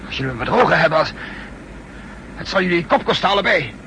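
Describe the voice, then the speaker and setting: A man's voice: fairly high pitch, expressive, quick pace, pushed and bright. A man playing a frightened, blustering captive who stammers out protests in a 1960s radio drama. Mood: outraged, panicky. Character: threatened male captive, panicky, blustering, indignant. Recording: faintly noisy 1960s radio recording.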